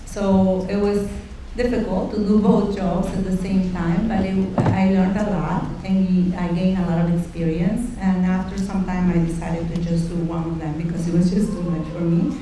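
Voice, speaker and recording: A middle-aged woman giving a measured, somewhat hesitant talk to an audience about her own career as an educator. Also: on the low side, level tone. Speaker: university sonography educator. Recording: very echoey room, distant mic, faint noise.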